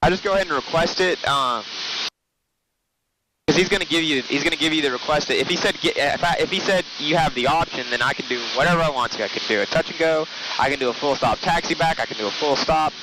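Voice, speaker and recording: A man's voice: on the high side, quick pace. A man giving casual running commentary as a pilot, explaining each step informally from the noisy cockpit of a small airplane. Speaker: pilot narrating flight. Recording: noisy small aircraft cockpit, engine drone.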